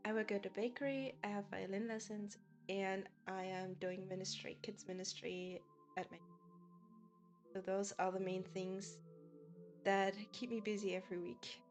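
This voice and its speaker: A woman's voice: mid-range voice, little pitch movement, a little slow, long pauses, a little mellow, soft background music. A woman from Germany with a German accent, chatting casually with viewers as a game streamer and laughing often. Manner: giggly, chatty, often laughs between sentences.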